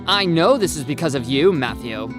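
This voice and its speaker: A narrator voicing a stern, impatient, angry mother who scolds teenagers in a brisk, commanding way for an audio story. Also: medium pitch, expressive, music in the background, natural voice. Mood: stern, suspicious. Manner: brisk, commanding, sharp questioning.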